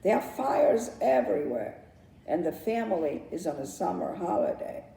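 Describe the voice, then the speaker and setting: A woman's voice: on the low side, wildly swooping pitch, moderate pace, vocal fry, dark tone, a little hiss or hum, heavily acted. A woman voicing a helpful, earnest female bird who brings messages to young goats in a storybook. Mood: urgent, worried. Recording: roomy echo, distant microphone.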